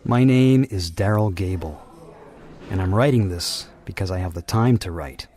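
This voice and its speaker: An actor playing a bitter, world-weary carnival manager in an audio drama, irritable and sardonic. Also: male voice, sits low, moderate pace, background noise, natural voice. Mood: reflective, weary. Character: bitter, brooding carnival manager haunted by lost love. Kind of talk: audio drama narration and dialogue. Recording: music behind the voice.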